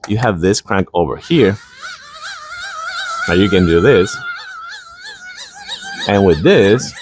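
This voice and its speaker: Low-voiced man casually reviewing a product. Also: very animated, slow pace, noisy background. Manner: enthusiastic, informal demonstrator.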